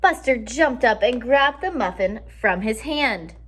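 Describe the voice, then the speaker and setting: A woman's voice: a little high, measured pace, slightly rough. Woman reading a picture book to children. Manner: expressive, explains simply to young listeners. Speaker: teacher reading aloud to children. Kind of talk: children's picture book read-aloud with explanatory asides.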